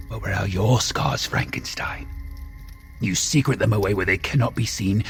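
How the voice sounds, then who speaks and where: A man playing a wretched, vengeful man-made monster in an audio drama, speaking in grandiose, archaic, deliberate phrases. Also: lowish voice, vocal fry, a bit bright, slightly rough, exaggerated voice.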